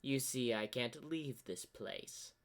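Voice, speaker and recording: A man voicing a sinister corrupted double of a zebra hero, smug and menacing, with theatrical, taunting, drawn-out phrasing. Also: medium pitch, natural voice. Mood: sly, explaining.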